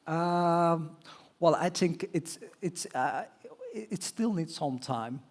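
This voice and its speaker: A man's voice: fairly high pitch, lively intonation, slow. A man speaking as a guest on a conference stage panel, answering with frequent fillers, restarts and non-native phrasing. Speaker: telecom executive guest on panel.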